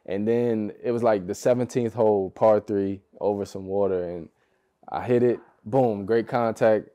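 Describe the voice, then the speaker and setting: Laid-back young man, low mellow voice. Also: fairly even intonation, normal speed, muffled recording. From United States. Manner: laid-back, easygoing, laughs often, loose phrasing with many fillers. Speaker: basketball player, podcast guest. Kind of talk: relaxed casual interview chat.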